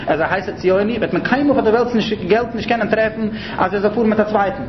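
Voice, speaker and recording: Higher-voiced Yiddish-accented man lecturing, echoey recording. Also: fast. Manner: didactic, repeats and rephrases points. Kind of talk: explanatory religious-political lecture. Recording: muffled, noisy, echoey room recording.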